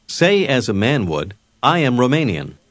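Lively-pitched male lesson narrator. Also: normal speed, no echo. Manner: clear prompting teacher. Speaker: language course narrator. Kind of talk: scripted instruction.

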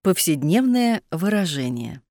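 A woman reading short phrase translations aloud for a language lesson in a clear, measured way. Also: on the low side, very animated, a little mellow, dry recording, clean studio sound. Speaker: phrasebook reader.

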